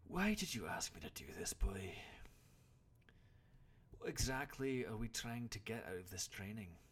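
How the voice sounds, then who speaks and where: A man's voice: on the low side, lively intonation, a little slow, husky, voice pushed up, full character voice. A man voicing a stoic, blunt older warrior mentor who scolds his pupil in an audiobook story. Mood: stern.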